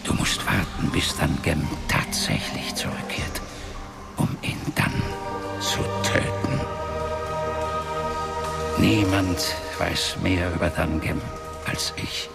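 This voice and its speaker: An actor playing an ancient, solemn wizard father in a fantasy radio drama, grave and measured, with a breathy half-whispering voice. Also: deep voice, exaggerated voice. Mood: solemn, urging. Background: background music.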